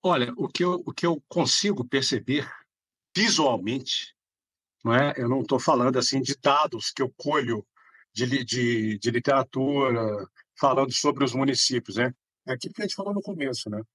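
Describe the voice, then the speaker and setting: A man's voice: medium pitch, normal speed, fairly bright tone, no room sound. A man from Belo Horizonte with a Minas Gerais Brazilian accent, answering casually as a podcast guest in a rambling way, often adding checking tags. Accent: Brazilian Portuguese, Minas Gerais. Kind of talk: casual conversational interview answers.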